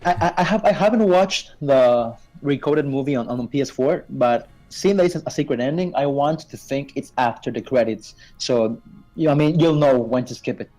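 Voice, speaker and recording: A man's voice: mid-range voice, moderate pace, mellow, poor audio. A man casually explaining game lore as a guest, stammering and repeating words as he goes. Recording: faint music behind the voice.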